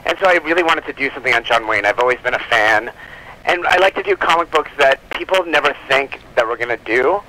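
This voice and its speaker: A man's voice: medium pitch, expressive, fast talker, pushed and bright. A man being interviewed as a guest, chatting casually in a rambling way with plenty of filler words like "like" and "you know.". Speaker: comic book publisher, interview guest. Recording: noisy phone call from guest.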